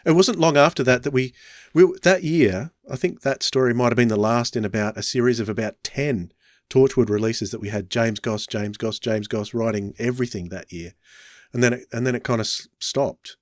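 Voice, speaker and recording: A man's voice: medium pitch, moderate pace, no room sound, studio quality. An Australian man hosting a podcast in casual conversation, thinking aloud as he talks. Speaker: podcast host. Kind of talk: casual chat.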